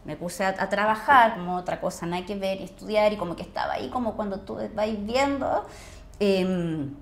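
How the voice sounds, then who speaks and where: A woman's voice: mid-range voice, normal speed. A Chilean actress chatting casually as a podcast guest, effusive and emphatic as she tells stories. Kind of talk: casual storytelling chat. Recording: echoey room.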